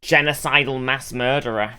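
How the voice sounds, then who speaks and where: Excitable guy podcast host, super bright punchy voice, really clean sound, casual sci-fi chat. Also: mid-range voice, normal speed, smooth.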